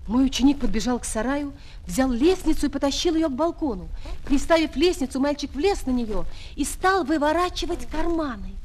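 A woman playing a schoolteacher who narrates a children's audio play with expressive storytelling. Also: high-pitched, moderate pace, a little hiss or hum. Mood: absorbed storytelling. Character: female schoolteacher quietly following and admiring her pupil. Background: music.

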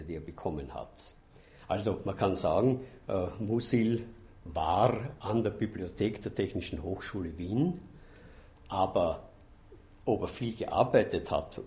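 Guy, low mellow voice, measured and dryly ironic interview talk. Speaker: library director, interviewee.

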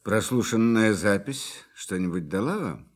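Low-voiced, courteous scientist. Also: male voice, lively intonation, measured pace. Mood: courteous, assured. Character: polite, matter-of-fact male scientist acting as guide. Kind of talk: Russian radio play acting.

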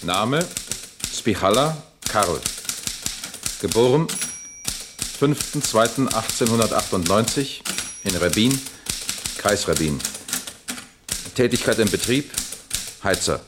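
A businesslike police captain from a 1960s radio crime play. His voice is on the low side and he speaks in a methodical, official way, as if dictating a report. The old recording is clearly noisy. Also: lively intonation, slow, long pauses. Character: businesslike male police captain heading an accident investigation. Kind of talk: 1960s German radio crime drama acting.

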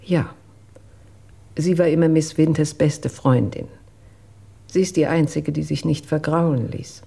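An actress playing a reserved, dutiful household employee who recounts events in a measured, careful way in a radio drama, with a husky voice. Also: female voice, deep voice, steady tone, warm tone, full character voice. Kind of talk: German radio drama acting.

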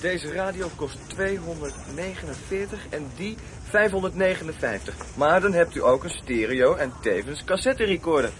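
A man's voice: a little high, lively intonation, moderate pace, fairly bright tone, a little hiss or hum. A man playing a businesslike shop assistant in a radio drama.

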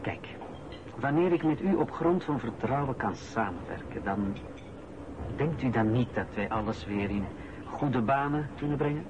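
An actor playing a calculating senior boss in a radio drama, speaking in a measured, formal, persuasive way. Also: male voice, mid-range voice, animated, close to an everyday voice. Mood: smooth, persuasive. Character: calculating male senior boss, smoothly bargaining over a cover-up. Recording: noisy lo-fi old radio play recording.

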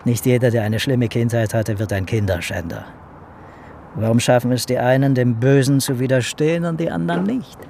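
An actor playing a detective's brooding, sceptical colleague in a radio drama, musing and questioning. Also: male voice, mid-range voice, normal speed, creaky, darkish tone, a little hiss or hum, lightly acted. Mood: cynical, pensive. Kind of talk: naturalistic radio drama acting.

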